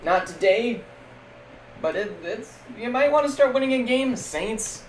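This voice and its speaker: A young man streaming casual, jokey play-by-play of a simulated football league, getting loud on big plays. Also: very high-pitched, lively intonation, unhurried. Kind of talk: live-stream game commentary. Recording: echoey room with faint background noise.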